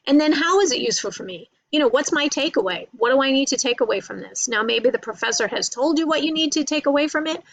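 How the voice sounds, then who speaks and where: Female college English lecturer, creaky voice, informal and explanatory, recorded close and dry. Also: sits high, animated, quick pace, hardly any pauses, no room sound. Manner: conversational, repeats points and checks with "right?" and "you know".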